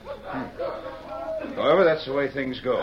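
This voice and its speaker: Smug western villain creditor played by a man, mid-pitched, falsely courteous, condescending, dull vintage radio sound. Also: slow pace, crowd noise, natural voice. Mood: mock-regretful. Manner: mock-polite, condescending. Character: smug, smooth-talking villainous male creditor. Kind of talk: old-time radio western acting. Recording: muffled old radio recording.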